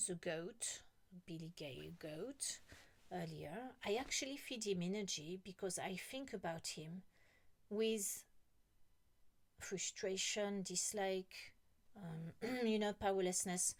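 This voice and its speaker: A middle-aged woman talking slowly and rambling to her viewers, often trailing off into a whisper. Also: lowish voice. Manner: slow, rambling, musing, often drops to a whisper. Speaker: vlogger. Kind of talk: casual monologue to camera.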